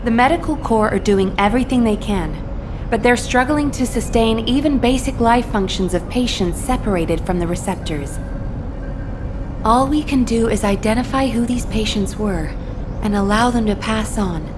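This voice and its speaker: Woman acting a female military commander, high voice, earnest, formal, video game briefing, noticeable background noise. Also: natural voice. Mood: serious, formal. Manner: serious, formal, measured briefing delivery.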